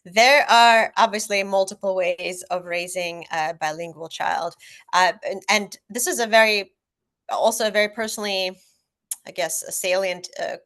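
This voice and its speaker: A woman's voice: medium pitch, normal speed, a bit bright. A woman whose first language is Russian speaks as a guest author on a parenting panel, explaining things earnestly with frequent fillers and restarts. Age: adult parent. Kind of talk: casual panel discussion.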